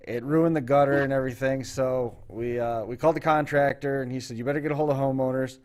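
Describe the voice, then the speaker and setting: A man hosting a talk show in casual chat, telling stories in an unhurried, rambling way. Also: mid-range voice, steady tone, darkish tone.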